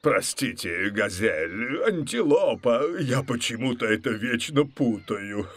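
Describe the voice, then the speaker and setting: A man's voice: medium pitch, animated, takes it slowly. A man voicing a jolly, forgetful lion zookeeper in a children's cartoon, with a hearty, chuckling manner. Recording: music behind the voice.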